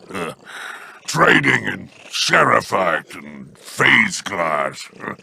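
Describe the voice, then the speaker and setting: An actor playing a sly, smug alien crime lord in a video game, with a gravelly, drawling, theatrical delivery. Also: male voice, lowish voice, pushed and bright, a little hiss or hum, exaggerated voice. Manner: wheedling and theatrical.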